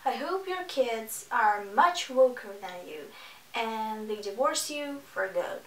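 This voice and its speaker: A woman's voice: fairly high pitch, lively intonation, slow pace, fairly bright tone. A sarcastic, exasperated woman giving casual reaction commentary as she hosts a book review video. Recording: echoey room, distant mic.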